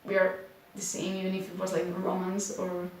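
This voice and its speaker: A young woman from Spain speaking English with a Spanish accent, chatting casually to camera in an unhurried way, full of fillers like "like". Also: lowish voice, monotone, slight background noise. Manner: unhurried, many filler likes, slightly unsure. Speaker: booktuber. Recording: very echoey room.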